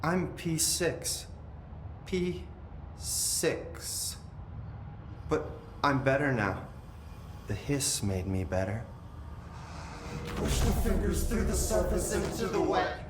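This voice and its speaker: An actor with an American accent playing an unhinged, possessed younger brother in a game cutscene, resentful and trance-like, drifting into cryptic sing-song phrases. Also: male voice, a little high, sing-song, slow pace, pauses often, background noise. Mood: eerie, entranced. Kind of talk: video game cutscene acting. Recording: echoey room, loud background ambience.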